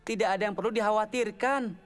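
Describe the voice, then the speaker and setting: A woman voicing a clever, confident twelve-year-old boy inventor in an animated cartoon. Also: medium pitch, fast, lightly acted. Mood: matter-of-fact. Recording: music playing behind the voice.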